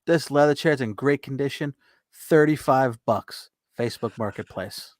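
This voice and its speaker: A man's voice: mid-range voice, moderate pace, no echo. A man hosting a podcast in casual, bantering conversation. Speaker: podcast host.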